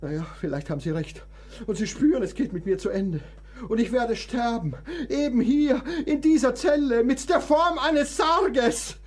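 A man's voice: extremely high pitch, moderate pace, a little mellow. An actor in a radio play voicing a sickly male composer who complains with bitter, theatrical sarcasm. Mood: bitter, self-pitying.

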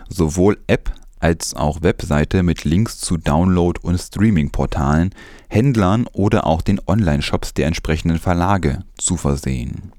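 Low-pitched man reading the news. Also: darkish tone, no echo, studio quality. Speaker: news presenter. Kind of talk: scripted audio news reading.

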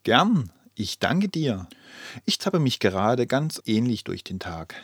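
An actor voicing a calm, thoughtful man in a radio play collage of social media posts, speaking in a measured, reflective, articulate way. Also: medium pitch, breathy edge, dry recording, studio quality, exaggerated voice.

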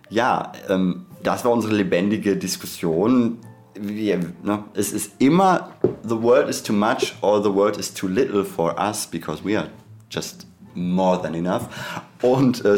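A man co-hosting a podcast in an informal, halting way, often interrupting himself. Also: fairly low pitch. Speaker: podcast co-host.

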